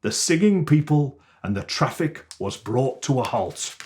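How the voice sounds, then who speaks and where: Slow, animated male storyteller. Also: medium pitch, slight background noise. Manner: theatrical, deliberate, expressive phrasing. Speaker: storyteller playing Father Christmas. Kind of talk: children's storybook reading.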